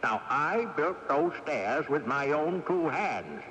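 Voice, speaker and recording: A man's voice: on the high side, very animated, takes it slowly, fairly bright tone, heavily acted. An actor playing a sly, stubborn old great-grandfather ghost in a theatrical radio mystery drama. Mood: grumbling. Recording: off-air 1980s radio tape, reverberant, hissy.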